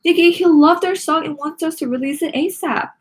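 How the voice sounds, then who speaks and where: A woman voicing an excitable, loyal schoolgirl best friend from a storybook, gushing and eager. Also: high-pitched, a little fast, voice pushed up, lightly acted. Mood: excited.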